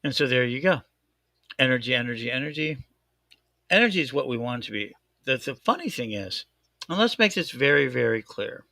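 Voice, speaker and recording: A man with a thick Chicago accent hosting a casual chat show, talking in an excitable, rambling way and correcting himself often. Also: mid-range voice, a little fast, gravelly, no room sound, studio quality. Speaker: host. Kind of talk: casual live-stream chat.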